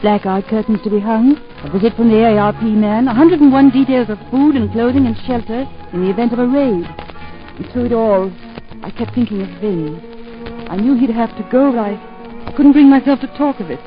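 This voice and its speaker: A woman's voice: fairly high pitch, brisk. A woman narrating her family's story and playing the mother in a 1940s radio drama. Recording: dull 1940s radio broadcast with music.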